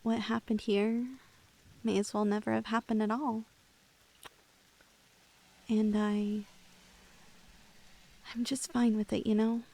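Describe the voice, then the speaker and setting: A lovestruck young woman, acted out by a female ASMR performer, speaks intimately in a fairly high voice with a heavy creak. She is clingy and playful, with hesitant turns and a teasingly unhinged devotion to the one she adores. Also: flat delivery, slow, pauses often, warm tone. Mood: hushed, wistful. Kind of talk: ASMR roleplay, intimate acting.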